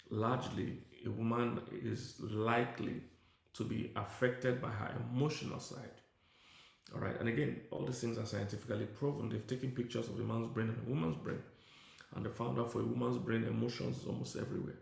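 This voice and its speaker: A man's voice: mid-range voice, vocal fry, echoey room. A middle-aged male pastor giving a conversational sermon, now and then breaking off to talk to his tech team. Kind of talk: sermon teaching.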